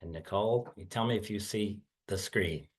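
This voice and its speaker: A man's voice: fairly low pitch, moderate pace. An older man giving a webinar presentation over a headset on a video call, earnest and full of fillers and repeated words. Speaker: guest speaker. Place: indoor room, video call.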